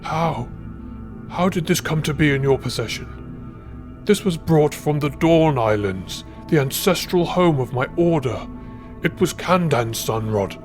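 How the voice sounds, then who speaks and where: A pious sun priest of a fantasy storybook, as voiced by a man, high and strained above the narration. He is fearful and easily scandalised, stammering and drawing out his exclamations slowly over background music. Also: lively intonation, darkish tone. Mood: worried.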